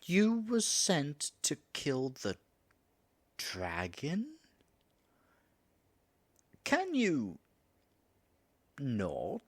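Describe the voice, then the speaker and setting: A man's voice: fairly high pitch, huge pitch swings, very slow, long pauses, exaggerated voice. A man voicing a flustered, flirtatious dragon in human form in an audio roleplay, stammering nervously and muttering asides to himself. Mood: nervous, defensive. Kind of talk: audio roleplay addressed to the listener.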